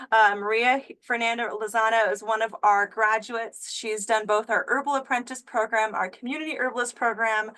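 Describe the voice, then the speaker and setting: A woman's voice: on the high side, fairly bright tone. A woman who directs an herbal school, opening an online class over a video call with an upbeat, friendly manner and frequent fillers. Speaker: school director and webinar host. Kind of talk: webinar introduction.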